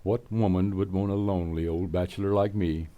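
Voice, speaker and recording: A man's voice: sits low, steady tone, a little fast, lo-fi sound, natural voice. A man playing a shy, flustered, lonely bachelor salesman who stammers bashfully in old-time radio comedy. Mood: modest, bashful.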